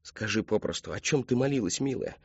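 A man voicing a gentle, anxious middle-aged mother in an audiobook, soft-spoken and coaxing. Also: on the low side, lively intonation, fairly quick, vocal fry, husky, dry recording, muffled recording, exaggerated voice.

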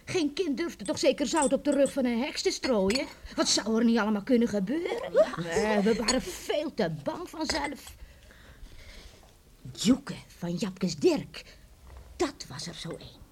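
An actress playing a lively older grandmother in a radio drama, telling eerie tales with chuckles and hushed suspense. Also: on the high side, a little slow. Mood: eerie, confiding. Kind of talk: radio drama acting, storytelling.

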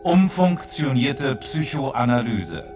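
Radio drama title announcer, drawn-out and melodic delivery with music behind. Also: male voice, mid-range voice, very animated. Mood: deliberate.

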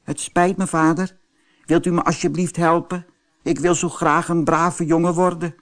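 A man's voice: a little high, little pitch movement, normal speed, voice pushed up, natural voice. A man voicing a naughty, headstrong wooden puppet boy in a children's storybook. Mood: remorseful. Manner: bratty, exclamatory.

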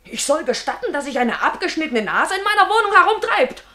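An actress playing a domineering, shrewish wife in a German radio play, indignant and scolding with scornful insults. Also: female voice, high-pitched, sing-song, fairly quick, pushed and bright, exaggerated voice.

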